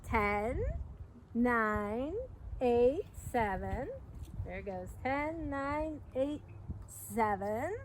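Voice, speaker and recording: A middle-aged woman reads a picture book aloud to young children in an expressive, sing-song storytelling manner with chatty asides. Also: on the high side, extremely slow, pauses often. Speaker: park educator hosting a children's storytime. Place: outdoors by a fence at a trailhead. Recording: outdoor recording with background noise.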